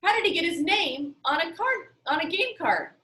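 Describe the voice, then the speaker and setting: Storybook boy team leader played by a woman, eager, bossy, urgent, high and very animated. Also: moderate pace, roomy sound, full character voice. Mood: eager, puzzled.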